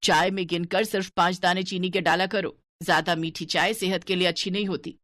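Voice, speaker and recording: A woman's voice: fairly low pitch, fairly even intonation, fairly quick, no echo, natural voice. A woman voicing a stingy, scolding older mother-in-law in a cartoon story. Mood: bossy. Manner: bossy, penny-pinching, sharp-tongued.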